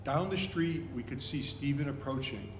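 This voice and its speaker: A man reading a children's book aloud in an expressive storytelling style. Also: mid-range voice, normal speed. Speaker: volunteer story reader. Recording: echoey, reverberant room with noticeable noise.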